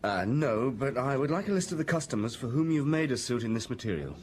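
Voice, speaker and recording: An actor playing a keen, respectful junior police inspector in a radio drama. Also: male voice, mid-range voice, very animated, fast, a little hiss or hum, close to an everyday voice. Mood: businesslike. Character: eager, deferential junior police inspector, man.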